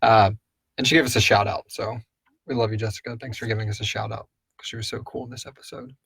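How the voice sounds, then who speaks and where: A man's voice: lowish voice, steady tone, fast talker, gravelly, fairly bright tone, slightly rough, no room sound. A man co-hosting a casual live question and answer chat, rambling loosely and searching for his words. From Houston, Texas area. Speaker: co-host and audio drama creator answering listener questions.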